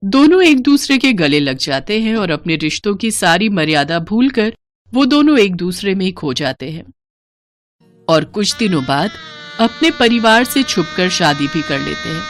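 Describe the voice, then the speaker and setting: A woman's voice: mid-range voice, very animated, measured pace. A woman narrating a scripted family story in a dramatic storytelling style.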